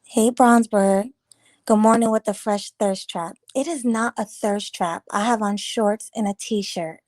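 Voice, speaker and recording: A woman chats loosely on a morning livestream, greeting viewers and riffing on their comments. Her voice is a touch raspy from the early hour, and it sits close and dry with no room around it. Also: medium pitch, fairly even intonation, measured pace, darkish tone, dry recording. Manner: chatty, rambling, teasing viewers. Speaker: livestream host. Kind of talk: casual live chat reading comments.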